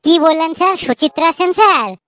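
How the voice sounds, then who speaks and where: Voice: very high-pitched, animated, fairly quick, sharp tone, dry recording, heavily acted. A performer voicing a cheeky young schoolchild in a comic cartoon, offering silly excuses with stammered, repeated words. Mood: eager, clueless.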